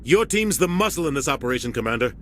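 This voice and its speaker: A man's voice: medium pitch, sing-song, fast talker, fairly bright tone. A man acting a stern, authoritative starship captain in a video game, giving clipped orders over vehicle noise. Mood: firm, urgent. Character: stern commanding older military starship captain.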